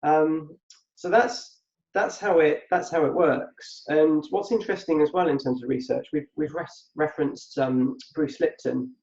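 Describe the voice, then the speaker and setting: A man's voice: fairly high pitch, steady tone, measured pace, a little mellow. A man co-hosting a podcast, explaining thoughtfully and repeating words as he thinks aloud. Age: adult with a past business. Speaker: podcast co-host. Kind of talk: reflective conversational explanation. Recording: muffled echoey room.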